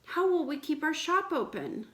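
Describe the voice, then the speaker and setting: A woman's voice: high-pitched, big pitch swings, moderate pace, fairly bright tone, voice pushed up. A woman voicing a worried, grumbling poor shoemaker in a children's fairy tale.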